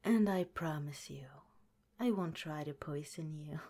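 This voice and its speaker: A woman voicing a sly but gentle scientist who runs an underground lab, in a soft, half-whispered ASMR roleplay. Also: low-pitched, slow, heavily acted. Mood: hospitable. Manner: sweetly hospitable, with a scheming edge.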